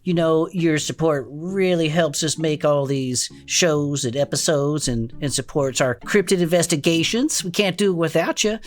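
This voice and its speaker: A man's voice: a little high, moderate pace, hardly any pauses, natural voice. A man playing a wry, skeptical cryptid investigator, bantering casually as co-host of a comedic audio fiction show. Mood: upbeat.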